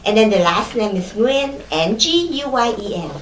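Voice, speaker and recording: A middle-aged woman from Vietnam with a Vietnamese accent, recalling her childhood in an oral history interview, pausing often with fillers and restarts. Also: mid-range voice, very animated, roomy sound, a little hiss or hum, rough recording. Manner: hesitant, many fillers and restarts.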